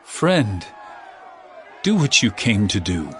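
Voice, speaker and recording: A man's voice: on the low side, big pitch swings, slow pace, crowd noise, noisy background. A man playing a resolute, authoritative religious teacher in an audio Bible drama, solemn and declamatory, with music behind him. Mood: firm, commanding.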